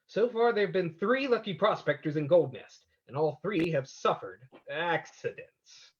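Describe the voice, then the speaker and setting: A man playing a resolute, cryptic crime-fighting sleuth in an old-time radio drama, measured and decisive, recorded a little dull. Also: a little high, animated, lightly acted. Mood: determined. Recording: slightly dull, lo-fi sound.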